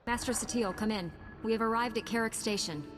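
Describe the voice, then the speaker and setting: A woman's voice: a little high, brisk, slight background noise, lightly acted. A woman playing a confident, capable female Jedi knight in a video game, speaking in an assured, direct way. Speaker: player hero character in a video game. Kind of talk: scripted game dialogue.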